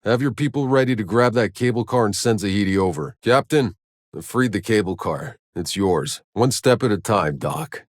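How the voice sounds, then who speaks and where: A gruff tired video game soldier, deep voice, dry and sardonic, super close clean studio sound. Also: male voice, expressive, moderate pace, no room sound. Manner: terse, dry, world-weary delivery. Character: gruff, weary, sardonic male sci-fi soldier hero. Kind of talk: video game character voice lines.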